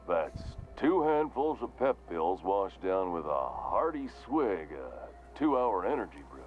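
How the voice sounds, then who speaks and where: A man's voice: fairly low pitch, a little mellow. An actor playing a corporate vendor in a mascot helmet in a video game, with forced salesman patter over weary resignation. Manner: forced salesman cheer with slogans, slipping into resignation. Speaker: shop vendor.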